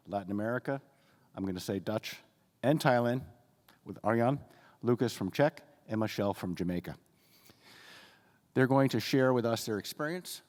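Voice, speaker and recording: A man's voice: fairly low pitch, a little mellow. A man moderating a conference panel, introducing speakers and putting questions to them with upbeat, scripted lines. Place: large conference hall. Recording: conference hall reverb.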